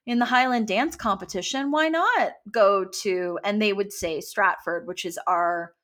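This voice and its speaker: A woman from Canada talks as a podcast guest, circling her thoughts with fillers and restarts. Her voice sits at an ordinary middle pitch, and she laughs easily between points. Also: a little slow, a bit bright. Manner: rambling, lots of fillers and restarts, laughs often. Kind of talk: casual interview chat.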